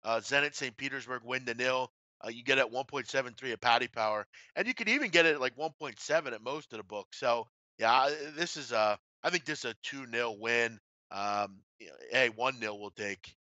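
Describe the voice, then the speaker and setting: A man's voice: medium pitch, brisk, pushed and bright, dry recording, dull audio. A man hosting a casual sports betting discussion, blunt and opinionated in a colloquial way. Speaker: host of a sports betting show.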